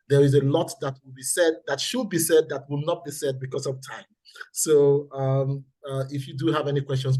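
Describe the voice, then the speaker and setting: A man with a Nigerian accent delivers a business lesson over a video call. His voice is a little husky and breathy, and he speaks deliberately, repeating figures and key phrases so they land. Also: medium pitch, darkish tone, low-quality recording. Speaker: presenter. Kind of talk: webinar presentation.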